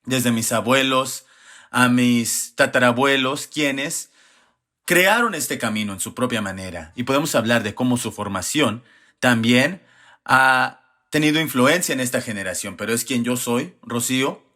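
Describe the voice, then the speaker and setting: Hombre mexicano de mediana edad, acento mexicano, voz de tono medio. Habla pausado y reflexivo, como ponente en un panel comunitario. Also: male voice, mid-range voice, pushed and bright. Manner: measured, reflective storytelling. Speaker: executive director on a panel. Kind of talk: panel conversation.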